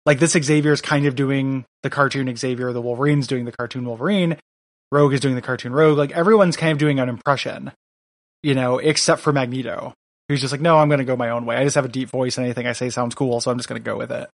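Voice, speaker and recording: A man's voice: medium pitch, steady tone, fast, no room sound. A man co-hosting a podcast, chatting casually and riffing with loose, swearing enthusiasm. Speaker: podcast co-host. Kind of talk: casual chat.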